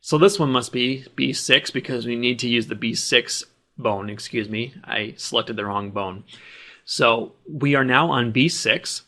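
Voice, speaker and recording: A man with a mid-pitched voice narrates a software tutorial as the instructor. He talks plainly and practically, explaining each action step by step as he performs it. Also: measured pace. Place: voice-over of a screen recording.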